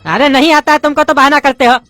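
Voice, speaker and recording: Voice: high voice, fairly even intonation, fast, sharp tone, no echo, faint music behind. A voice actor playing an irritable male English teacher, scolding his class in an exasperated tone.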